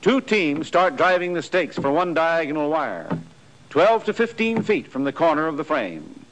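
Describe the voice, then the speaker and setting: A man's voice: on the high side, very animated, normal speed, fairly bright tone, slight background noise, poor audio. An American man narrating a 1940s training film from a script in a formal, precise, matter-of-fact way.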